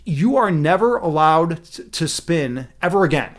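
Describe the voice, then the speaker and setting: A man's voice: fairly high pitch, expressive, brisk, a little hiss or hum. Blunt, appalled fairy-tale prince acted by a man. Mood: firm. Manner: slangy, incredulous.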